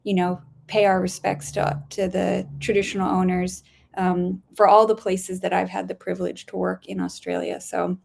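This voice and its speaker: A woman from Spain talks as a guest scientist over a video call. Her voice has a gravelly, fry-laden edge, and she chats loosely, full of ums and restarts, laughing often. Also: fairly low pitch, little pitch movement, normal speed, darkish tone.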